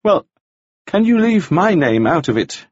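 A man's voice: high-pitched, big pitch swings, a little slow, a little mellow, dry recording, voice pushed up, full character voice. A man voicing a nervous, flustered dandy who stammers and pleads anxiously. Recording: dull lo-fi recording.

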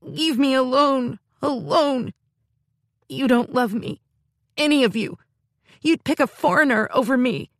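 A woman's voice: animated, unhurried, pauses often, dry recording, clean studio sound. A woman voicing a fierce, proud young woman who wails and sobs in a rage in an audiobook. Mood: sobbing. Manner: shrill, wailing, tearful. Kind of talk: audiobook character voice.

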